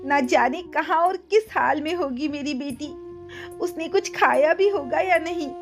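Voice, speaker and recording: A woman's voice: high-pitched, measured pace, music in the background. A woman voicing an anxious, grieving queen mother in a Hindi cartoon story. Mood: worried, grieving.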